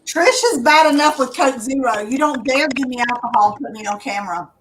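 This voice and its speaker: Quippy woman, fairly high voice. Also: fairly quick, a little hiss or hum, poor audio. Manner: short joking quips. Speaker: crafter guest. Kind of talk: casual group chat.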